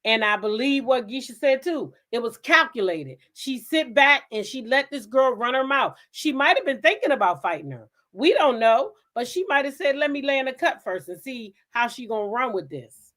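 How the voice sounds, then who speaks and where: A woman's voice: sits high, expressive, normal speed, fairly bright tone. Blunt, emphatic woman livestream host. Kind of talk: casual live reaction commentary.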